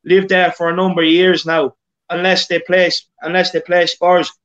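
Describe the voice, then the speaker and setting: A man's voice: high voice, level tone, moderate pace, fairly bright tone, highs cut off. A man with an Irish accent hosting a casual live football chat, reading out viewer comments with lots of fillers and stammered restarts. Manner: reads out live viewer comments, filler-heavy, repeats words. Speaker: host.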